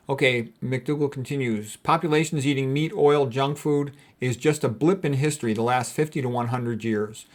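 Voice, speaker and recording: A man speaking as a doctor giving an informal lecture, explaining things in a blunt, conversational way as he reads and comments. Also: mid-range voice.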